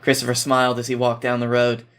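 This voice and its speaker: A man with a medium-pitched voice speaks as a guest on a podcast. He moves between reading a story aloud and casual conversation. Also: completely flat delivery, quick pace, a bit bright. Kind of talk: fan fiction read aloud and casual podcast chat.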